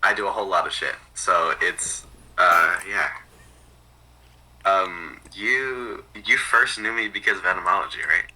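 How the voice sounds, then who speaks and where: A man chatting casually as a podcast guest, halting and repeating words as he explains things. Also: sits low, pushed and bright, poor audio. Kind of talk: casual chat.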